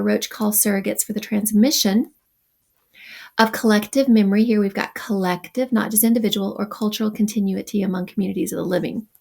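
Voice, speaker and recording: Woman teacher, middle pitch, reads passages aloud with a gravelly edge, then breaks off for dry asides to the class. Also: a little mellow. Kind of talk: reading a text aloud and commenting for students.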